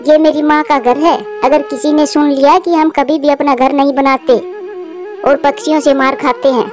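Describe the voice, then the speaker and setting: A bossy, scheming crow wife played by a woman talks in a high, sharp-tongued way. This is cartoon dialogue over clearly audible music. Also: fairly even intonation, moderate pace, lightly acted. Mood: scolding.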